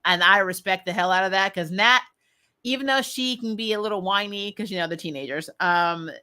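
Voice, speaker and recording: Female host, mid-pitched and bright. Casual, opinionated commentary, animated and plain-spoken. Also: a little fast, smooth. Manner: animated, chatty, slangy, blunt. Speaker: host of a TV recap show.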